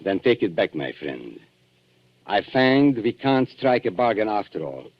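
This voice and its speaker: An actor playing a smooth, mocking blackmailer with theatrical politeness in a 1940s radio thriller. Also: male voice, lowish voice, very animated, unhurried. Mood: cold offence. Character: suave, sneering male blackmailer posing as antique dealer. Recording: muffled 1940s radio recording.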